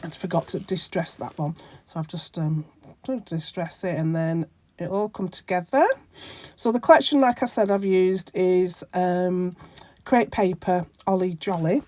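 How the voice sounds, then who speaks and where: A woman with a croaky voice from a bad cold, hosting her own crafting channel and talking through a project in a rambling way, with many ums and self-corrections. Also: lowish voice, fairly even intonation, a little slow, a little mellow, dry recording. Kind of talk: casual crafting tutorial narration.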